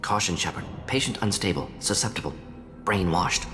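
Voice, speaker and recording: A man voicing a brisk, analytical alien professor in a video game, speaking in clipped, telegraphic phrases. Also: sits low, lively intonation, a bit bright. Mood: clinical, wary. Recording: reverberant hall, faint music behind. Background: faint music.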